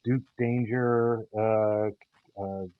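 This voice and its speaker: A man telling anecdotes casually as a guest, halting often with stumbles and repeats. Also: lowish voice, fairly even intonation, clear, resonant tone, no room sound. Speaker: interview guest, audio theater team member. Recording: dull, phone-like call audio.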